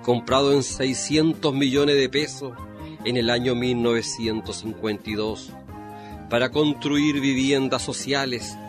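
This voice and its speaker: A man's voice: mid-range voice, fairly even intonation, a little slow. Solemn male narrator over music. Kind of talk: scripted radio drama narration.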